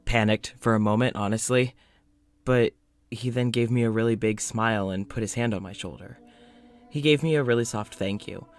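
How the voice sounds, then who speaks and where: A man playing a tired, awkward, gentle doctor confiding in an audio diary, conversational and dryly wry. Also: on the low side, natural voice. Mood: fond, shy.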